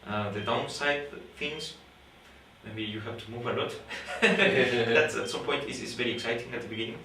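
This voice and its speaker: Guatemalan man speaking halting English. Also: medium pitch, pushed and bright. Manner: halting, many fillers and restarts, thoughtful. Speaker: PhD student in astronomy. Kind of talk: interview, explaining his research. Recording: roomy, distant mic with faint background noise.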